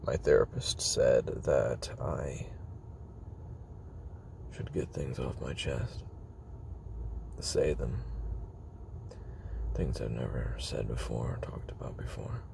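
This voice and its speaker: A man playing a weary, guarded former assassin in a confessional audio roleplay, murmuring haltingly with a gravelly, breathy voice. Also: extremely low pitch, fairly even intonation, background noise, full character voice. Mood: reluctant, guarded. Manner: halting, hesitant, self-doubting, trailing pauses.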